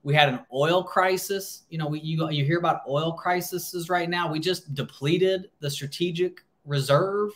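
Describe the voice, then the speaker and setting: Higher-pitched American man explaining informally. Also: steady tone, a little slow, few pauses. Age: 30s. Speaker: financial planner hosting a livestream.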